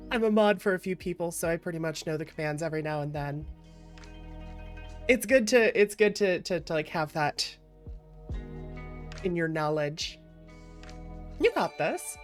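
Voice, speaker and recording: A woman hosting a livestream, chatting casually and playfully with viewers in an expressive way over background music. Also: medium pitch. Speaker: streamer, variety VTuber and host.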